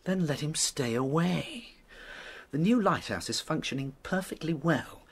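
An actor playing an irascible British doctor in a radio drama, blustering, curt and pompous. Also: male voice, fairly high pitch, expressive, measured pace, husky, heavily acted. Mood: indignant, curt. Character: irascible, indignant male country doctor. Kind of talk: BBC radio drama acting.

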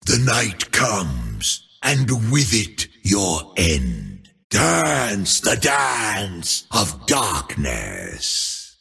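A grandiose troll shadow priest who proclaims his dark power in a very slow, swooping delivery. His voice is raspy and gravelly, breathy yet bright and forceful, and it often rises to a shout. These are menacing video game battle lines. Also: on the low side, heavily acted.

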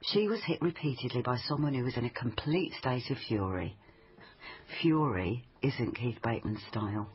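A woman's voice: a bass voice, expressive, normal speed, husky, muffled recording, exaggerated voice. Plain-spoken, persistent policewoman. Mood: insistent. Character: level-headed, persistent female police family liaison officer. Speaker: actor. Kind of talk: naturalistic radio crime drama acting.

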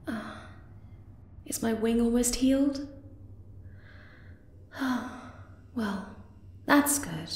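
Woman acting a sweet, slow-talking moth-girl. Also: fairly high pitch, reverberant. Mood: awkward, self-chiding. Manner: hesitant, tender, soft-spoken. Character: sweet, shy, flustered young moth-girl lover. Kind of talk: ASMR roleplay acting.

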